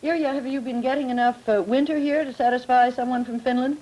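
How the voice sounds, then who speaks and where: A woman moderating a 1950s television forum, asking brisk, teasing questions of student guests. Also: high voice, fairly even intonation, warm tone, poor audio.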